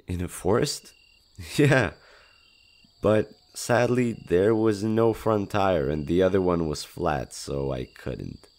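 A man voicing a shy, gentle skinwalker monster in an audio roleplay, speaking hesitantly with innocent curiosity about humans. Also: low-pitched, exaggerated voice. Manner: hesitant, halting, innocent wonder. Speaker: voice actor. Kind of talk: intimate audio roleplay, one-sided dialogue.

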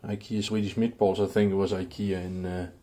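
A man casually narrating a home cooking vlog in a laid-back, somewhat halting way. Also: low voice, flat delivery, dark tone. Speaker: home cook, video host. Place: indoors in a home kitchen.

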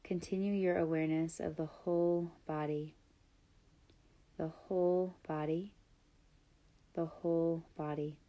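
A woman leading a scripted guided yoga nidra meditation, speaking in calm, soothing, measured instructions with pauses. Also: low voice, dull audio.

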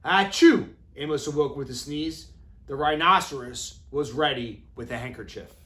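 A man in his thirties reading a children's storybook aloud with deliberate, expressive phrasing, as an educator does for young children. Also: mid-range voice. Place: classroom, indoors.

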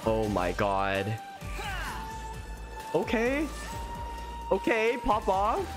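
A man's voice: high voice, extremely slow. A man in his thirties giving casual, exclamatory live reactions as a streamer, on a close desk mic. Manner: excitable, exclamatory. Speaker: streamer reacting to a game trailer. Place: small room at home, indoors. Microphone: close desk microphone. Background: faint game trailer music.

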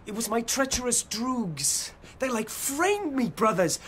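An actor playing a whining, violent fourteen-year-old British gang leader in a radio drama, talking in florid teen slang. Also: male voice, extremely high pitch, very animated, unhurried, fairly bright tone, heavily acted. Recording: noisy radio drama sound scene.

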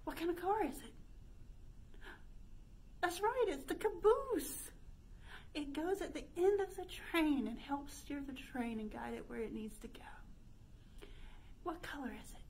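High-pitched, slow-paced woman leading children's storytime. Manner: sing-song, exaggerated, asking questions to young children. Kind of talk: interactive children's storytime with chants. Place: indoor room by a stone fireplace. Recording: steady background noise.

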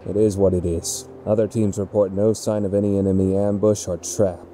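A man's voice: low-pitched, little pitch movement, a little fast, warm tone, slight background noise, natural voice. A man voicing a grim, dutiful rebel soldier in a video game fan dub, giving terse military reports. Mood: grave report.

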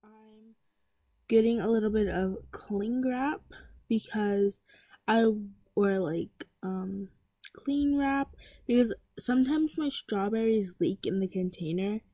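A woman's voice: medium pitch, slow, mellow, no echo. A teenage girl talking casually about packing her lunch in a home kitchen, rambling with lots of fillers and restarts. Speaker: vlogger. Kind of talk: casual voice-over chat.

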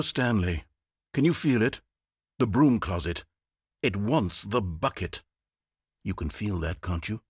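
A fussy, melodramatic male narrator for a comedic video game. His delivery swings widely in pitch, pompous and condescending, with every phrase carefully articulated. The recording is dry and close. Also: breathy edge, no room sound. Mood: earnest, conspiratorial. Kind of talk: theatrical storybook-style narration.

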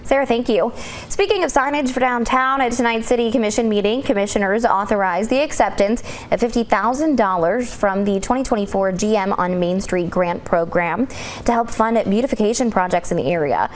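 A woman in her thirties anchoring a local newscast from a studio in measured, scripted delivery. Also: mid-range voice. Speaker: news anchor. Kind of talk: scripted news reading.

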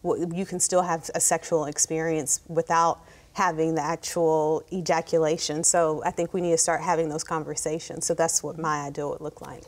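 A woman's voice: low-pitched, flat delivery, normal speed. A woman in her forties talking conversationally as an expert on a studio panel. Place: TV studio round table. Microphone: lapel mic.

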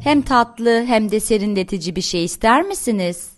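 A woman's voice: a little high, animated, moderate pace. A woman narrating a cartoon for toddlers in a sing-song, encouraging way, often asking questions. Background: light music behind the voice.

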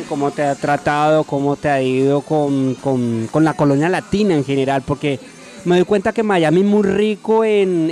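A man's voice: on the high side, a little mellow. A young man interviewing a singer in a restaurant, asking chatty, friendly questions into a handheld mic. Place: decorated restaurant, indoors.

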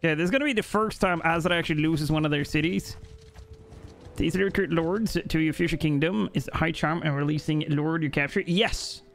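A man's voice: on the high side, a little fast. A young man from Norway on a headset, chatting with his live-stream chat while playing, jokey and rambling. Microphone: headset mic.

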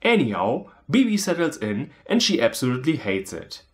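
A man narrates a comedic film review. His medium-pitched voice moves up and down a lot, with a sarcastic, irreverent edge. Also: huge pitch swings, moderate pace. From Germany. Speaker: movie reviewer, video host. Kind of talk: scripted comedic movie recap narration.

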